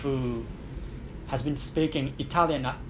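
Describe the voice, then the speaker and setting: A man in a casual conversation, speaking haltingly and searching for words with many fillers. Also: mid-range voice. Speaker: conversation partner. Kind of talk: casual chat. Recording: clear background noise.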